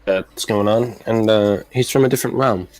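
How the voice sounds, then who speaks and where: A man who narrates as game master in a loose, rambling, halting way. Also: fairly low pitch, darkish tone, dry recording, faint music behind, rough recording.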